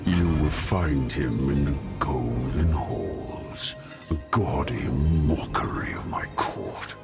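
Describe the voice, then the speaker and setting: A man playing a haughty, brooding, menacing inhuman ruler in a video game, speaking with slow theatrical disdain and breathy, gravelly edges. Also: extremely low pitch, expressive, exaggerated voice. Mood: brooding, commanding. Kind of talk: video game cutscene acting. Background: loud music.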